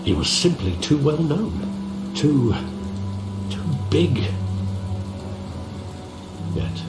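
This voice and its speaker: An actor playing a weary, devoted older butler burdened by guilt, speaking gravely and in measured phrases in a video game drama. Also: male voice, on the high side, very animated, heavily acted. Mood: somber recollection. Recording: echoey, loud music behind.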